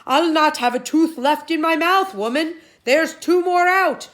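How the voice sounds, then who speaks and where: A woman voicing a boastful, bullying male giant in a storybook, loud and blustering. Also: high-pitched, unhurried, voice pushed up. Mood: pained, outraged.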